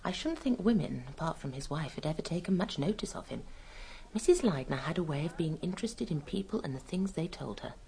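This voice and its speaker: A woman's voice: low-pitched, sing-song, a little fast, gravelly, a little mellow, heavily acted, rough recording. A woman playing a plain-spoken, proper nurse in a radio drama, with an English accent and a confiding, frank manner. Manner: confiding, hesitant, then frank.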